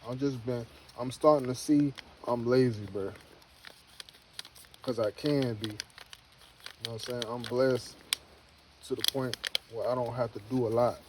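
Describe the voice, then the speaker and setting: A man's voice: mid-range voice, steady tone, measured pace, pauses often, background noise. A man in his early thirties talking casually to camera, rambling and repeating himself, then giving an earnest motivational pep talk. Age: 30s. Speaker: homestead vlogger.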